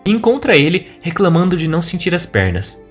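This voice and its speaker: A man with a Brazilian accent, reading a scripted retelling of an animated horror story as a video narrator. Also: on the high side, brisk. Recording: music behind the voice. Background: background music.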